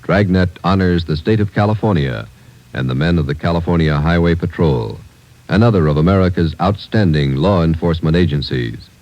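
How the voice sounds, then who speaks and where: A man's voice: low voice, fairly quick, a little mellow, dry recording. A man reading solemn formal announcements in 1940s radio style. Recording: dull old radio recording.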